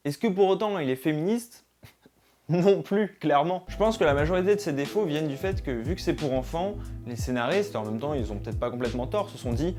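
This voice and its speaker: A man's voice: on the high side, lively intonation, brisk, music in the background. A young man hosting a conversational video essay, speaking informally and straight to his viewers. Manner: informal, addresses viewers directly.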